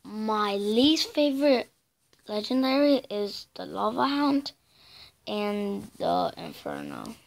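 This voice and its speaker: Raspy woman channel host, slow and hesitant, mumbling asides between louder bursts. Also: mid-range voice, very animated. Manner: halting and filler-heavy, drifting between loud bursts and mumbles. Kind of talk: casual game commentary over a screen recording.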